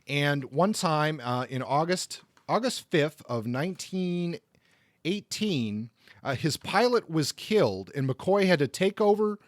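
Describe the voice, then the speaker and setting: Male podcast host, informal biographical narrator, conversational and halting, recorded dry. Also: fairly high pitch, animated, no room sound.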